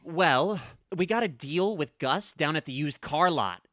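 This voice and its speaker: A man's voice: a little high, measured pace. A man playing an eager, scheming, boyish teenage son in a vintage-style radio sitcom. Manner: excitable, boyish.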